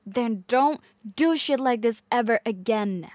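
A woman's voice: a little high, expressive, a little slow, darkish tone, no room sound. A woman playing a possessive, volatile, manipulative girlfriend in an intense audio roleplay, addressing the listener directly. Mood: accusing, worried. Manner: intense, swearing, swinging between pleading and menace.

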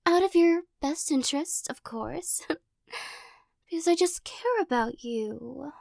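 A young woman voicing a possessive, teasing girl who corners the listener in an audio roleplay, giggling and coaxing, often dropping into soft whispers. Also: high voice, animated, takes it slowly, vocal fry, no room sound, clean studio sound, full character voice.

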